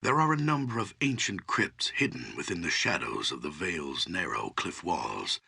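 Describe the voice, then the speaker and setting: An actor playing a grave old archdruid in a fantasy video game, speaking solemnly and deliberately with a gravelly, husky voice. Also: male voice, low voice, sing-song, pushed and bright, heavily acted. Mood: urgent, earnest. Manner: solemn, deliberate, portentous storytelling.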